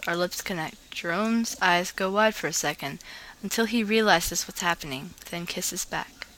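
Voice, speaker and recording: Bright-voiced woman reading fan fiction casually. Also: on the low side, slight background noise, rough recording. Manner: informal, giggly, stumbles over words while reading. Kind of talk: casual vlog chat and amateur story read-aloud.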